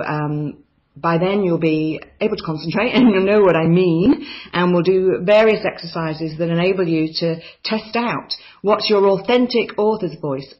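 A woman from New Zealand tutoring a children's writing course, talking enthusiastically and chattily with frequent ums. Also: lowish voice. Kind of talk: course overview narration over slides. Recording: slightly dull lo-fi voice-over.

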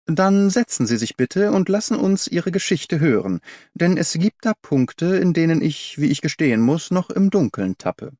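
Shrewd gentleman detective, acted by a male narrator, storybook role, courteous, precise reasoning, dry close sound. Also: on the high side, very animated, moderate pace, dry recording, pitched above the performer's own voice. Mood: probing, courteous. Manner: formal, analytical, courteous.

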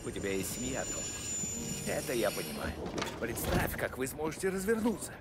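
A weary gangster near forty. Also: male voice, medium pitch, huge pitch swings, normal speed, very noisy. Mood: wistful. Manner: blunt, coarse, restless.

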